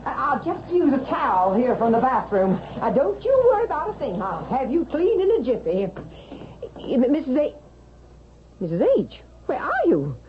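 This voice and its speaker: An actress playing a bossy, fussing widowed cook in a 1970s radio drama, bustling and coaxing. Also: female voice, sits high, sing-song, normal speed. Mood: flustered. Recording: muffled, hissy vintage radio.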